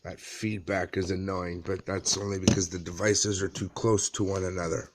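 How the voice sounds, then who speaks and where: A man in his thirties giving a casual how-to walkthrough in a halting, plain-spoken way, pausing often. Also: sits low, level tone, noisy background. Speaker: amateur tutorial presenter. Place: small dim room indoors.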